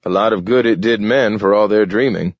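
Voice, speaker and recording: Guy doing a grumpy low mutterer, peevish and quick to snap, dry dull sound. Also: dry recording. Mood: grumbling. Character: grumpy, wary male companion.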